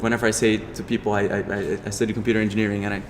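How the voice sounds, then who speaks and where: A man's voice: on the low side, little pitch movement, rapid-fire. A young man from Brazil with a Brazilian accent, a startup founder talking in a casual interview, rambling with many restarts and fillers. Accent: Brazilian Portuguese. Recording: long reverb, clear background noise.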